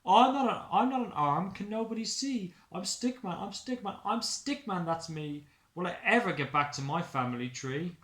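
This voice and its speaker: A small storybook stick man acted out by a man, pitched high and plaintive. He protests indignantly in insistent, drawn-out chants, homesick, in a roomy, echoing space. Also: expressive, fairly bright tone. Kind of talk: rhyming picture-book story voice.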